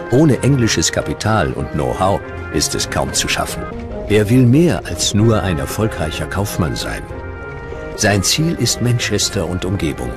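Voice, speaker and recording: A male documentary narrator with a very low voice and animated intonation. He reads scripted history in measured, dramatic short sentences while music plays behind him.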